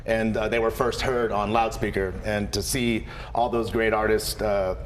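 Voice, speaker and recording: A man's voice: fairly low pitch, level tone, moderate pace, slight background noise. A man who co-hosts a radio show, talking casually as an interview guest.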